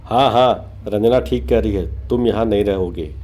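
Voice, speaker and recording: A man's voice: on the low side, moderate pace, a little mellow, close to an everyday voice. A man voicing a practical, insistent husband in a cartoon horror story. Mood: insistent concern.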